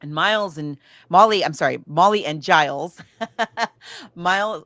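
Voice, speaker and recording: American lady podcast host, lowish voice, very dry close sound, rambly casual storytelling. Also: expressive, unhurried, a bit bright, no room sound. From United States. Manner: conversational storytelling, often backtracking. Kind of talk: casual book and film discussion.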